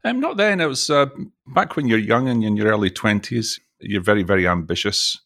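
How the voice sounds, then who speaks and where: A man's voice: lowish voice, expressive, a little fast, vocal fry, fairly bright tone, dry recording, studio quality. A middle-aged Scottish man chatting as an interview guest, jokey and talkative, often restarting his sentences. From Scotland. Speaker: podcast guest, oil industry executive. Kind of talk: casual interview chat.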